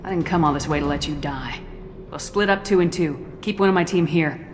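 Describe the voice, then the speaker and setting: A woman plays a resolute military commander in a video game. The voice sits a little low and carries firm authority. It rings with long reverb, and music plays clearly behind it. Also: brisk, close to an everyday voice.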